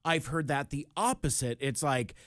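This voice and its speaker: A man's voice: a little high, lively intonation, moderate pace, dry recording, studio quality. A man from Seattle, a radio guest, chatting casually and reflectively, illustrating points with quoted examples. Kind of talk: casual conversation.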